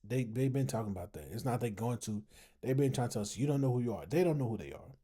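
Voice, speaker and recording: A man's voice: mid-range voice, lively intonation, quick pace, mellow, husky. A tired American man giving casual, opinionated commentary as a host, emphatic and repeating phrases for emphasis. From United States, family from Texas. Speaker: host commenting on an online discussion.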